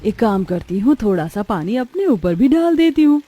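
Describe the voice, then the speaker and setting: A woman's voice: fairly high pitch, sing-song, moderate pace, dark tone. A woman voicing a naive, earnest young village bride in a dramatized moral story. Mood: pleased.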